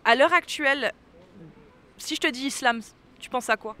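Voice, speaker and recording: Bright-voiced woman interviewing in the street. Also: high voice, normal speed. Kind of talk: casual vox pop interviewing. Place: outdoors on a city street. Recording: outdoor street with light traffic noise.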